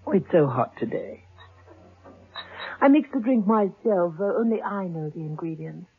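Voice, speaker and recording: Sultry teasing hostess. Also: female voice, fairly low pitch, animated, normal speed, breathy edge, full character voice. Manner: teasing and seductive. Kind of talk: 1970s radio drama acting. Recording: tinny lo-fi old radio broadcast.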